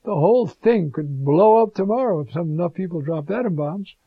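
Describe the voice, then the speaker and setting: A man's voice: sits high, animated, brisk, breathy edge, no echo, narrow, tinny audio. A man preaching on scripture, talking conversationally and rising to fervent emphasis. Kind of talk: sermon on scripture.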